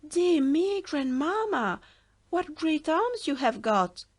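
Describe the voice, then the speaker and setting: A woman voicing an innocent, trusting little girl in a storybook reading, with a bright, wide-eyed questioning manner. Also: high-pitched, big pitch swings, slow pace, pitched above the performer's own voice, full character voice. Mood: wondering.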